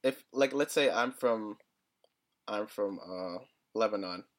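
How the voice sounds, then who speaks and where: A man's voice: fairly low pitch, slow pace. A young man co-hosting a podcast in casual chat, talking hesitantly with lots of "like" and small laughs. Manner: hesitant, many "like" fillers, chuckles. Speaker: podcast co-host.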